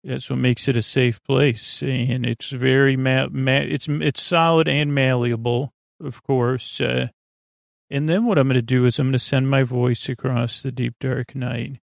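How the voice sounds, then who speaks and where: A man hosting a bedtime podcast in a creaky, soothing voice, meandering haltingly through digressive monologue meant to lull listeners to sleep. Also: mid-range voice, level tone, no room sound, studio quality. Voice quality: creaky, gravelly. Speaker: podcast host.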